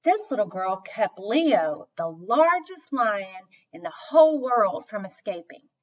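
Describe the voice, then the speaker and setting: A woman acts out an emphatic male circus owner from a storybook, full of admiration. The speech is slow and very animated, recorded slightly dull and away from the mic. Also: medium pitch. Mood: firm, admiring. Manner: emphatic, deliberate, declarative. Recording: dull, distant microphone.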